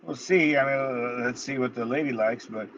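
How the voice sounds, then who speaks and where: A man in his thirties talks laid-back and blunt, swearing now and then. His voice is mid-pitched and comes through dull, with faint hiss behind it. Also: moderate pace, fairly bright tone. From United States. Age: 30s. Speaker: guest, MMA fighter. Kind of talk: casual interview. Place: in his car.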